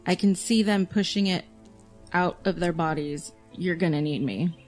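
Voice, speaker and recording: A woman's voice: on the low side, moderate pace, darkish tone, soft background music, lightly acted. A woman playing a sarcastic, plain-spoken scout with strange powers in an audio drama, in a dry, grudging manner. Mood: tense.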